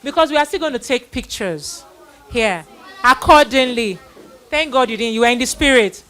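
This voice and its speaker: A woman's voice: on the high side, animated, normal speed, pushed and bright. A middle-aged woman hosting a live children's Bible event, introducing and addressing people in an exhorting manner with repeated phrases.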